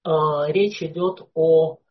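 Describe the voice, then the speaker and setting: A lecturer speaks very slowly and deliberately at a middling pitch, stopping often to hunt for words with long "uh" fillers. The recording is dull and lo-fi. The delivery is unscripted and explanatory. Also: fairly even intonation. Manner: hesitant, frequent "uh" fillers.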